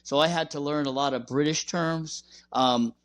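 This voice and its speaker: A man hosting a podcast, explaining things in a measured, conversational way. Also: on the high side, fairly even intonation, a bit bright. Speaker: podcast host.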